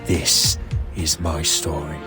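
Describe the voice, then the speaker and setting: A man's voice: extremely low pitch, level tone. An actor playing a grave, regretful Irish assassin, narrating his life story solemnly in a video game, with an Irish accent. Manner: solemn, measured. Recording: loud music behind narration.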